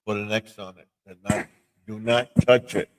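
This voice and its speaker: A man with a fairly low voice hosts a casual livestream. He talks in short, halting phrases and often repeats himself. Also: steady tone, low-quality recording. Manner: repetitive, halting. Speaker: livestream host.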